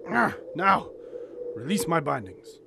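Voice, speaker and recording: A devout, loyal desert companion in a radio play. The voice sits somewhat high, with ornate, archaic and emphatic phrasing. Clear background noise surrounds it. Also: male voice, very animated, slow pace, heavily acted. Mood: urgent. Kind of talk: radio drama acting.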